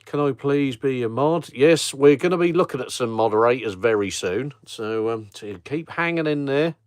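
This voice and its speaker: A middle-aged man with a Norfolk accent, hosting a relaxed live chat for viewers of his travel channel, rambling with ums and chuckles. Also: medium pitch, normal speed, smooth, no echo, clean studio sound. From Norfolk, England. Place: home at night, streaming on a phone. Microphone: phone held in portrait mode.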